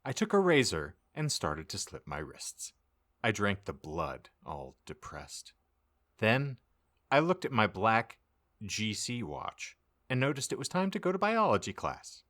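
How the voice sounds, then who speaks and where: A man's voice: fairly low pitch, sing-song, measured pace, fairly bright tone. A man reading aloud as a podcast host, narrating a comic audiobook in a mock-serious, theatrical way.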